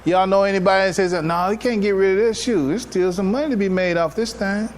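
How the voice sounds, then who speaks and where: A man's voice: high voice, fast talker. An American man giving an informal religious lecture to a congregation as an imam, conversational and folksy, often checking in with rhetorical questions, recorded live in a noisy room. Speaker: imam giving a public address and taking questions. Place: live gathering at a mosque, speaking to attendees.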